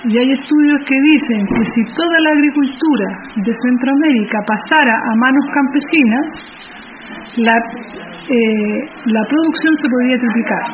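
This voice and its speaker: A woman's voice: high-pitched, normal speed. A woman from Chile with a Chilean accent, an activist and researcher answering interview questions in a thoughtful, explanatory way with frequent hesitation fillers. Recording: muffled noisy interview recording.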